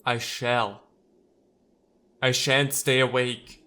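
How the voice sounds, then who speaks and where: A man's voice: medium pitch, flat delivery, extremely slow, bright, forceful tone, smooth. A man playing a visual novel, reading its text aloud and adding wry, casual commentary. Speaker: let's player.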